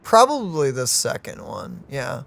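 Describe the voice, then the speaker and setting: A woman hosting a gaming channel, reacting to a game with excited, playful comments. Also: very deep voice, a little slow, vocal fry.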